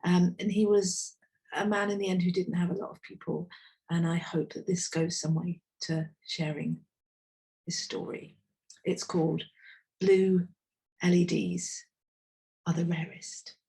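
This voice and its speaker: A middle-aged woman from England performs her own spoken-word poem in a slow, intimate, reflective way. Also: on the low side, flat delivery, gravelly, warm tone, low-quality recording. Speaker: poet. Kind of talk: spoken word poetry reading.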